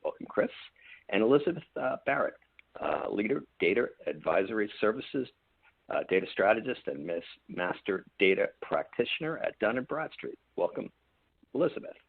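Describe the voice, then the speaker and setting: Husky man moderating over a phone line. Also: on the low side, big pitch swings, moderate pace, no room sound. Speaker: webinar moderator. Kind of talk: scripted event hosting. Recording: dry tinny phone-like line.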